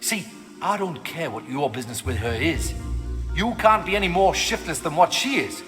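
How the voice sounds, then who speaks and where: An actor voicing a bitter, wry faceless undead mutant with a colloquial Geordie accent in a video game. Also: male voice, fairly high pitch, expressive, normal speed, fairly bright tone. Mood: wry, scheming. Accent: Geordie English. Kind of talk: video game cutscene acting. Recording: long reverb, music behind.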